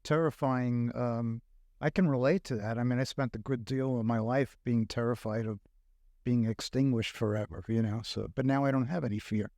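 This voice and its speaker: An older man from Brooklyn with a New York accent, talking reflectively as a podcast guest in a studio, pausing often with fillers. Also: mid-range voice, fairly even intonation, mellow, no echo. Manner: reflective, halting with many fillers. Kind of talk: casual podcast conversation. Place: recording studio. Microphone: close studio mic.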